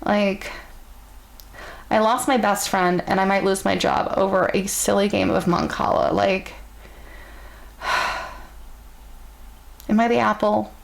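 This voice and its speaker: A woman reading a story aloud in a casual, conversational way, theatrical and amused, with pauses and murmured asides. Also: mid-range voice, animated, vocal fry.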